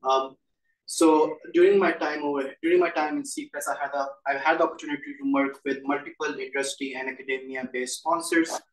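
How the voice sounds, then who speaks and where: A young man speaks quickly and in a flat pitch, introducing himself as a student researcher. He repeats phrases haltingly and sounds distant from the microphone. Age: young adult. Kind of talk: self-introduction to visitors. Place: indoor lab test bay. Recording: echoey room, far from mic.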